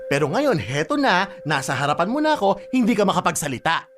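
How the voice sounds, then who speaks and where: A woman's voice: lowish voice, big pitch swings, fast talker, a little hiss or hum. A woman playing a strict, hot-tempered elder sister who scolds and interrogates like a parent, in radio drama style. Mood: cold, menacing.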